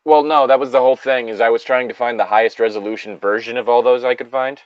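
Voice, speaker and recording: A man's voice: mid-range voice, steady tone, fast, no room sound, lo-fi sound. A man in a casual group chat, talking in a jokey way and swearing freely. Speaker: co-host reading horror stories in a group.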